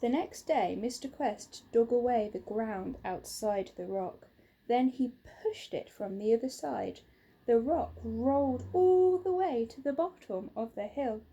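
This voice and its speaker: A woman narrating a children's storybook in a measured, expressive way. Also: fairly high pitch, husky, rough recording. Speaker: storybook reader.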